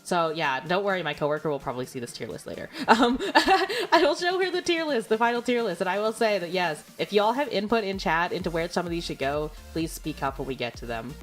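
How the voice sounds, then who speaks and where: A woman's voice: lowish voice, big pitch swings, fairly quick, few pauses, faint music behind. A young adult woman streaming, chatting casually and rambling with lots of fillers and giggles. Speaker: VTuber streamer. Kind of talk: casual livestream chat.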